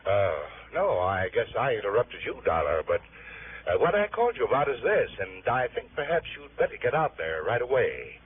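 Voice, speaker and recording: An actor playing a courteous, hesitant insurance executive who hems and haws formally in a 1960s radio drama. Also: male voice, lowish voice, big pitch swings, moderate pace. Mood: businesslike, grave. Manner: formal, hemming and hawing. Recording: narrow, faintly noisy old radio recording.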